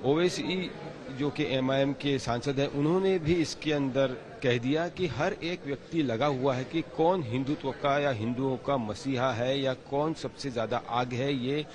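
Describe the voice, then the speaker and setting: A male field reporter delivers a live political report outdoors. His voice sits at an ordinary middle pitch, with a clearly audible crowd around him. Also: unhurried. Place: outdoors at a rally site. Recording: outdoors with crowd noise behind.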